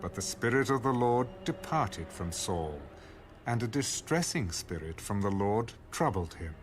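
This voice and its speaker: A man narrating an audio Bible in a formal, measured storytelling style. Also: on the low side, expressive, slight background noise.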